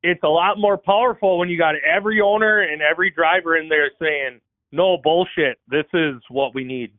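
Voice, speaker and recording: A man's voice: a little high, moderate pace, no echo. A man calling in to a racing talk show, laying out his argument step by step. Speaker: caller. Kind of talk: casual call-in chat. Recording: dry, tinny phone line.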